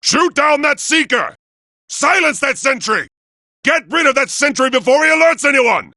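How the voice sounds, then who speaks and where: A noble robot commander from a video game. The voice sits high and swings widely, with a forceful edge. Every phrase is declaimed formally and with solemn grandeur. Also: male voice, animated, normal speed, dry recording, studio quality, exaggerated voice. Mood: urgent warning. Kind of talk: theatrical video game voice acting.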